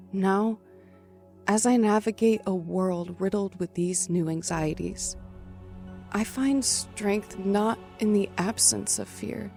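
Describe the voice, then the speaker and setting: A woman's voice: medium pitch, gravelly, mellow. An actress playing a haunted female survivor of a killer's attack, narrating an audio drama with solemn intensity. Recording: music behind.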